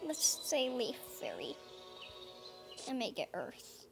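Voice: medium pitch, wildly swooping pitch, slow, creaky. A child hosting a gaming video, chatting casually and playfully in character while playing. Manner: playful, talking to self in character. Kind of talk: casual role-play game commentary. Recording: music behind the voice. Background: game music.

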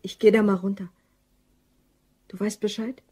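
Woman playing a husky, dry office assistant. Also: medium pitch, level tone, moderate pace, mellow, lightly acted. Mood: tense. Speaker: actress in a crime radio play. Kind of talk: German crime radio drama acting.

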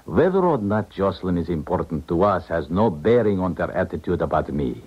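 An actor playing a cool, calculating Eastern Bloc scientist in a 1970s radio drama, formal and deliberate. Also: male voice, deep voice, sing-song, slightly rough, heavily acted. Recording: muffled old radio broadcast.

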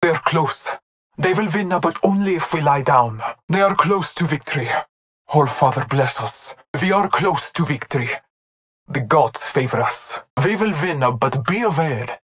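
A devout, fierce hunter-warrior from a video game, speaks with a Nordic accent. The voice is androgynous and slightly muffled, as if through a mask. Phrasing is formal and ceremonial, with a grave, reverent temper. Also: female voice, low voice, lively intonation, a little slow. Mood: grave, resolute. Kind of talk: video game character voice lines.

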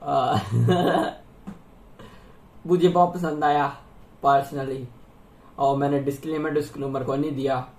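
A young man from India talks fast and loosely as he reacts to clips, thinking out loud at his desk. His voice sits mid-range, and the small room around him gives it a noticeable echo. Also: medium pitch, steady tone. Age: young adult. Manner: chatty, laughing, thinking aloud. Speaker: reaction video host. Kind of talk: casual reaction commentary.

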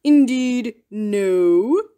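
A woman doing a polite, calm goat. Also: a little high, extremely slow. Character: goat, male, calm, polite, odd eater.

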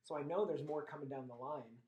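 Man in his thirties, quick pace, casual podcast host, echoey room, shared tabletop mic. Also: a little high, mellow, muffled recording. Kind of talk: casual interview chat. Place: small indoor room with blinds. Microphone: shared USB tabletop microphone.

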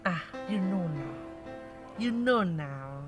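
An actress playing a flirtatious mistress who teases her lover in a coy, drawn-out way. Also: on the low side, expressive, music in the background.

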